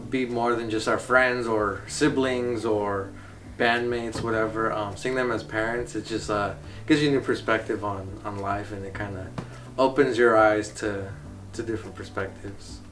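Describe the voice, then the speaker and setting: A man's voice: lowish voice, steady tone, normal speed, fairly bright tone. A man in his twenties, a band member, chatting in a laid-back, slangy way. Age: 20s. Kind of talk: casual chat. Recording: distant mic with background music.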